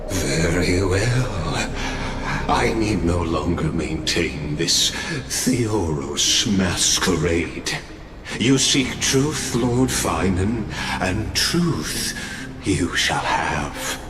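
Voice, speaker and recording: A man playing a grandiose, sinister lord in a fantasy video game, with solemn, theatrical, deliberate delivery. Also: sits low, animated, noisy background, heavily acted. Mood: grandly menacing. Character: grandiose, sinister male lord shedding a scholar's masquerade. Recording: echoey reverberant voice over music.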